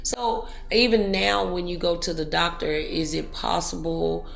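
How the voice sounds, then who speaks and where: A woman's voice: on the low side, fairly even intonation, measured pace, creaky, echoey room, faint music behind, rough recording. A woman hosting a talk show, speaking in an encouraging, preachy way full of motivational sayings.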